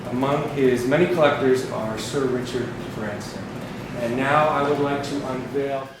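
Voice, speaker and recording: Formal gallery host, distant and echoing. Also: male voice, medium pitch, moderate pace. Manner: formal, ceremonial. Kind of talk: formal introduction. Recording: echoey gallery, distant and noisy.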